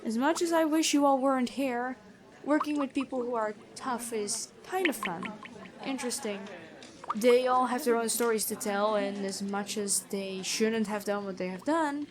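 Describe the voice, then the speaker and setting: A woman playing a stern but kind, noble-born prison guard in a fantasy ASMR roleplay, talking in a measured, conversational way. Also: on the high side, crowd noise, natural voice. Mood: confiding.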